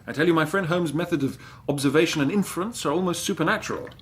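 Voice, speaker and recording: An actor playing a genial, effusive young gentleman in a radio drama, full of enthusiasm. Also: fairly high pitch, quick pace, background noise, natural voice. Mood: proudly excited. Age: young adult. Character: eager, genial young English gentleman, devoted son.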